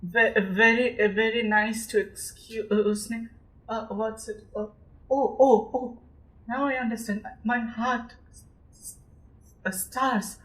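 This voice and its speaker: A bright, high-pitched nervous suitor acted by a young man with an Indian accent, in a roomy student audio play. He is a quarrelsome hypochondriac who stammers and repeats himself melodramatically. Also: fairly even intonation, slow pace. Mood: dazed. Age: young adult. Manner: stammering, breathless, melodramatic repetition. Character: hypochondriac, argumentative male suitor, nervous and excitable. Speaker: student actor. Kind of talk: amateur audio drama acting. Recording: roomy, echoing amateur recording.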